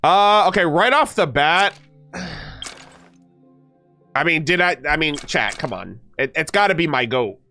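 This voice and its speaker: A man's voice: a little high, lively intonation, measured pace, long pauses, bright, forceful tone, soft background music. A man streaming a game, chatting casually with viewers, slightly nasal and stuffed up, with many fillers and restarts.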